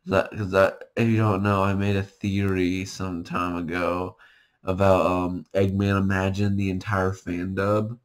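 Slow-talking young man, amused. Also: low voice, flat delivery. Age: young adult. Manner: amused, laughing through words, slow and halting. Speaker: reaction streamer. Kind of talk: casual reaction commentary. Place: small bedroom, indoors.

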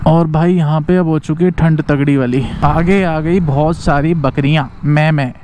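A man's voice: on the high side, fairly even intonation, moderate pace, dark tone. A young man chatting cheerfully to viewers as he vlogs a motorbike ride outdoors, speaking into an action camera. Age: young adult. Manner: chatty and upbeat, addresses viewers as brothers. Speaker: motovlogger. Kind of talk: casual vlog narration. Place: outdoors on a highway and roadside, partly riding a motorbike. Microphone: chest-mounted action camera, also selfie at arm's length. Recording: noisy outdoor action-cam audio. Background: wind, traffic and engine noise.

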